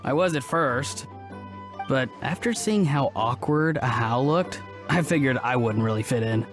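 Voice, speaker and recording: A man's voice: medium pitch, animated, unhurried, natural voice. A man voicing an easygoing, slightly sheepish young man in a video game, casual and reassuring. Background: game music.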